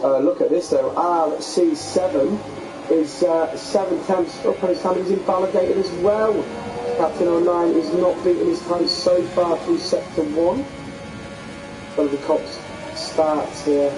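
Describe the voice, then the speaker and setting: A man losing his voice, calling a sim race as lead commentator in a lively, chatty play-by-play. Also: a little high, measured pace, music in the background, low-quality recording.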